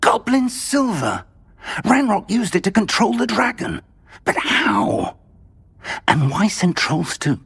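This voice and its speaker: An actor playing an older wizard professor, earnest and excitable, in a fantasy game. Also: male voice, sits high, takes it slowly, husky, heavily acted. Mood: alarmed.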